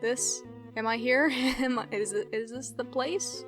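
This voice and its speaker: A woman's voice: fairly high pitch, steady tone, unhurried, over music. A woman in her twenties chatting casually as a YouTuber in a reaction vlog. She laughs often, rambles and pokes fun at herself. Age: 20s. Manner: giggly, rambling, self-deprecating.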